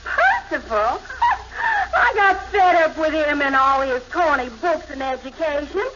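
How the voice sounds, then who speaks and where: A fickle young girlfriend speaks high with big swoops of pitch. It is gushing fifties radio comedy acting on a noisy vintage recording. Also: very animated, measured pace, muffled recording.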